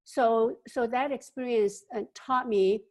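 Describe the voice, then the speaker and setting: A woman, giving an interview answer about her life. She speaks haltingly, with many fillers and repeated words. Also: fairly high pitch, steady tone, darkish tone. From China. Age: 70s.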